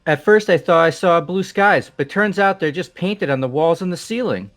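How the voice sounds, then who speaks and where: A man's voice: on the high side, moderate pace, a bit bright, faint music behind, close to an everyday voice. A man voicing an earnest, eccentric teenage schoolboy with dramatic delivery in a fan-voiced game. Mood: dramatic musing.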